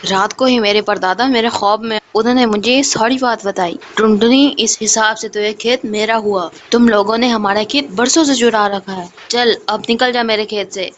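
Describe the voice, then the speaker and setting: A swaggering male crow bully from a cartoon barks orders and threats, played by a woman. The voice sits fairly high. The recording is dull and faintly hissy. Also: few pauses, natural voice. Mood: bossy. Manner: rude and bossy, threatening.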